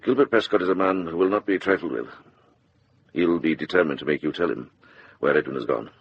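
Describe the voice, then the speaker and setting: Deep, husky older monk. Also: male voice, lively intonation, brisk, highs cut off, full character voice. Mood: calm, grave. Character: shrewd, kindly older Welsh monk. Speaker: actor. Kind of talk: radio drama acting.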